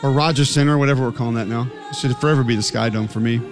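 A man's voice: mid-range voice, fairly even intonation, rapid-fire, music in the background. A man hosting a sports talk show, speaking conversationally in an opinionated, emphatic way and throwing out rhetorical questions.

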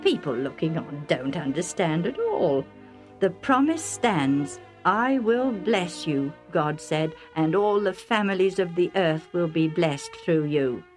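A woman's voice: lowish voice, very animated, slow, a little mellow, faint music behind. Devout, stubborn old storybook patriarch, emphatic and declamatory as acted by a female storyteller. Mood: devout, reminiscing. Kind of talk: dramatised Bible storytelling.

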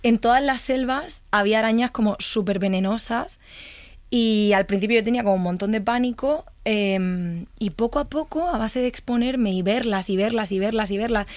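A woman's voice: fairly high pitch, normal speed, darkish tone, no echo. Spanish woman, dry close studio voice. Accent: Spanish (Spain). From Murcia, Spain. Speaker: psychologist, guest on the show. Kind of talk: personal storytelling in casual conversation.

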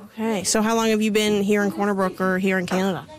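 A woman's voice: mid-range voice, level tone, fast. A woman radio reporter asking children simple, friendly interview questions.

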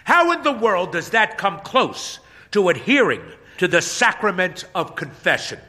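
A man's voice: sits high, big pitch swings, unhurried, bright, forceful tone, pitched above the performer's own voice, heavily acted. A man voicing an indignant, stern pastor who scolds sharply. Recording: long hall reverb.

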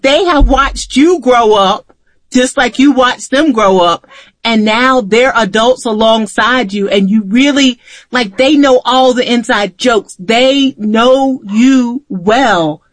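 A woman's voice: fairly high pitch, slow pace, dry recording. A middle-aged woman chatting casually in an emphatic, playful way. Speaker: mother of adult children. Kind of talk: casual conversation.

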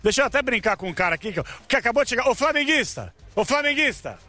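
A man reporting live for radio from a busy outdoor square, lively and joking as he chats with passers-by. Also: very high voice, fast. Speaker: field reporter.

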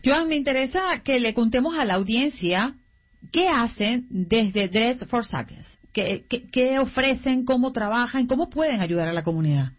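A woman's voice: a little high, lively intonation, normal speed, muffled recording. A woman hosting an interview, asking questions in an inquisitive way. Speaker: interviewer, host.

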